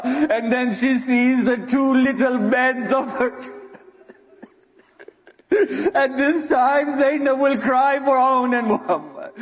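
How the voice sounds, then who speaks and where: High-pitched mournful male preacher in echoing hall. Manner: emotive, dramatic, grief-laden delivery. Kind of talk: religious sermon, mournful lament storytelling. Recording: echoey hall with long reverb.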